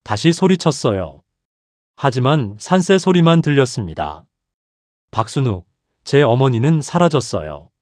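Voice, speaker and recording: A man reads a heartfelt first-person story into a close studio mic. His short, measured sentences rise and fall in a lively way. The sound is dry and close, with no room around it. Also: medium pitch, no echo.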